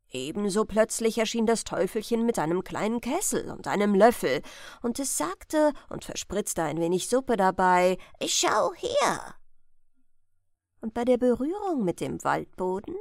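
A woman in her thirties reading a bedtime fairy tale as an audiobook host, with unhurried, expressive storytelling, close on a studio microphone. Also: mid-range voice, creaky, no room sound. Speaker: audiobook reader and channel host. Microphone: large studio microphone, close.

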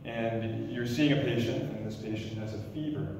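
A man in his thirties, an emergency doctor, giving a loud, energetic startup pitch in a lecture hall with frequent restarts. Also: normal speed, background noise. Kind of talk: pitch presentation. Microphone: stand mic, recorded from far camera. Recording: echoey lecture hall from far off.

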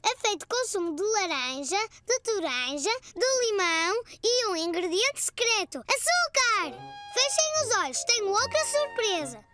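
A woman's voice: very high voice, big pitch swings, unhurried, music in the background, heavily acted. A woman voicing a curious, eager bald four-year-old boy in a dubbed cartoon, talking in a childlike, chatty way. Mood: cheerful. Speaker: lead child character.

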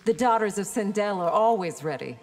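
A woman's voice: mid-range voice, animated, fairly quick, lightly acted. A woman playing a poised, confident warrior princess in a fighting game, formal and assured. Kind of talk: dramatic fighting game dialogue. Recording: reverberant hall-like game mix.

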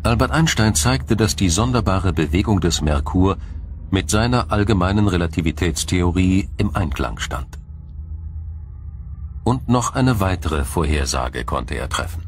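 A man narrating a scripted science documentary in a measured, formal, explanatory way. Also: sits low, animated, slight background noise.

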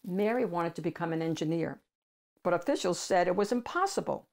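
Older woman reading aloud with deliberate emphasis. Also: lowish voice, lively intonation, darkish tone. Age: older, 60s or above. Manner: deliberate, emphatic phrasing for children. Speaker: children's read-aloud host. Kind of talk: read-aloud picture book narration. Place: small room at home with bookshelves.

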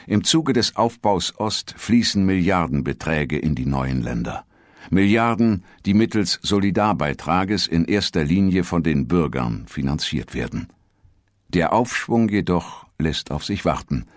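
A man reading a scripted documentary narration in a measured, formal manner. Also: low-pitched, lively intonation, no echo, studio quality.

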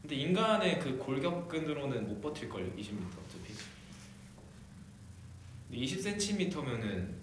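A man's voice: on the high side, big pitch swings, normal speed, pauses often. A young man chatting casually on a livestream from a decorated room, musing aloud, answering fan comments and laughing often. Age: young adult. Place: decorated room, indoors, at a table. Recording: very echoey room, faint background hiss.

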